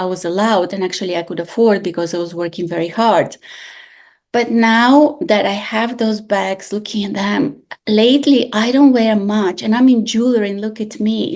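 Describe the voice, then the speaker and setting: Animated woman host. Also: mid-range voice. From Bulgaria. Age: 40s. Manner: expressive, animated storyteller with frequent restarts. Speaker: podcast host. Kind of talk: casual podcast conversation.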